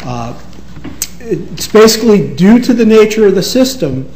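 A man in his fifties giving a courtroom argument as an attorney, halting with pauses and fillers. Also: sits high, very animated, darkish tone. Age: 50s. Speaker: attorney arguing rebuttal. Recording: echoey courtroom with faint hiss.

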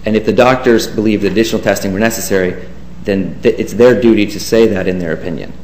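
A man's voice: lowish voice, fast talker, a little mellow. A man giving a formal, deferential courtroom argument as an attorney. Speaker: government attorney. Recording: echoey courtroom with faint hiss.

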